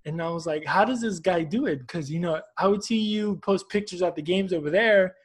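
A man's voice: high voice, brisk, slightly rough. A man from Houston hosting a casual, informal soccer interview show, chatting in friendly slang. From Houston, United States.

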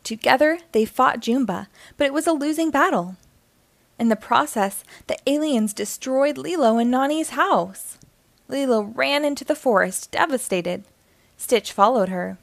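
Higher-voiced woman reading a storybook. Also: lively intonation, unhurried, gravelly, dry recording. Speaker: children's storybook reader. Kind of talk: scripted read-aloud narration of a children's story.

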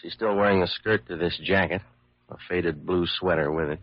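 A man's voice: deep voice, fairly even intonation, normal speed, no room sound. A man acting a cynical, world-weary police lieutenant in a classic radio drama, blunt and slangy. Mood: matter-of-fact. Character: cynical, hard-boiled male police lieutenant. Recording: muffled old radio recording.

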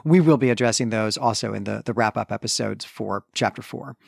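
A man co-hosting a podcast, explaining his points in a measured, articulate and conversational way. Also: fairly low pitch, creaky, slightly rough, no room sound, clean studio sound. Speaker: podcast co-host. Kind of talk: conversational literary analysis.

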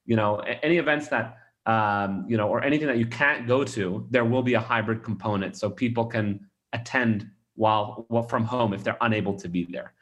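A man's voice: lowish voice, fairly quick, few pauses, fairly bright tone. A man from Australia, a startup founder, answering interview questions in a rambling way with many restarts and fillers.

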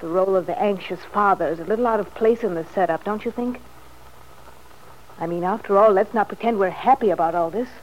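An actress playing a bitter, anxious young wife in a vintage radio soap, with a brittle, ironic, weary manner. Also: female voice, mid-range voice, fairly quick, muffled recording, close to an everyday voice. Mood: sardonic, brittle. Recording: faintly noisy old radio recording.

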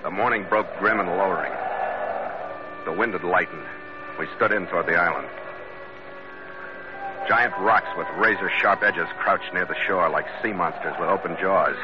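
An actor playing a tough, principled American sea captain who also narrates a radio adventure, in a terse hard-boiled style. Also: male voice, sits low, moderate pace, long pauses, music in the background, close to an everyday voice. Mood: grim narration. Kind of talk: old-time radio adventure drama acting and narration. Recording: noisy, muffled old radio recording.

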